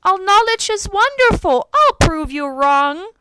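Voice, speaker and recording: A woman's voice: extremely high pitch, big pitch swings, a little slow, sharp tone, no echo, pitched above the performer's own voice, heavily acted, rough recording. A woman voicing a curious, innocent and stubborn little boy in an eager, earnest manner. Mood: defiant. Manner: eager, earnest, wide-eyed.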